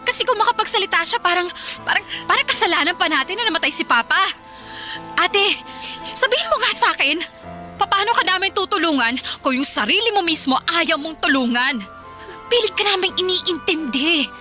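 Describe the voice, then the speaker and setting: An actress playing an earnest, worried younger sister with a Filipino accent in a radio drama, insistent and pleading. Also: female voice, very high-pitched, animated, fairly quick, sharp tone, faint music behind, heavily acted. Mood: exasperated.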